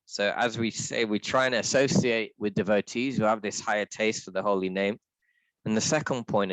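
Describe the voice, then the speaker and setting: A man with a deep voice leading a reflective scripture reading, talking in a thoughtful, halting way with restarts. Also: fairly bright tone, no echo, rough recording. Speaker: scripture class leader.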